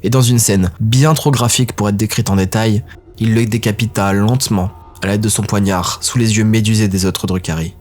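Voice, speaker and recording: A man narrating a dark fantasy audio drama in a steady, grave way. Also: lowish voice, normal speed, a little hiss or hum.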